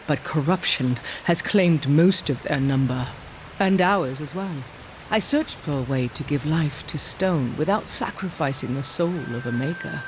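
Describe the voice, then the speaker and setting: Man as a slow, solemn ancient shaman. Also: on the high side, a little hiss or hum, natural voice, poor audio. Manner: solemn, measured. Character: ancient, sorrowful shaman of a stone people. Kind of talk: video game cutscene acting. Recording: clear music behind the voice. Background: game score.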